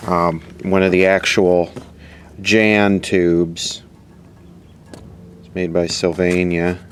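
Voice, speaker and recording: A man narrating a casual, unscripted hands-on repair demonstration in a matter-of-fact, halting way, as host of his own video. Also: low voice, little pitch movement. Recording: clearly audible background noise.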